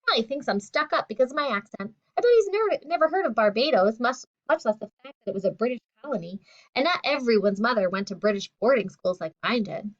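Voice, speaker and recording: A woman voicing a poised teenage girl with a British-tinged accent, who talks in a calm, matter-of-fact way. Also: on the high side, quick pace. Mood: quietly hurt. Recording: dull lo-fi sound.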